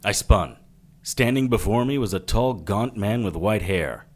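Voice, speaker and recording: Casting: tough, sardonic forties detective narrator, lowish voice, hard-boiled radio delivery. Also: male voice, lively intonation, a little slow. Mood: wry, wary. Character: wry, tough, wary 1940s private detective.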